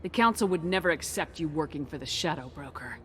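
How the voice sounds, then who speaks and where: A protective woman commander in a sci-fi game. Her voice is low for a woman, calm and firm, with a reassuring steadiness. Faint music sits behind it. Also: a little fast. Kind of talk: dramatic video game acting. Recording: music under the voice.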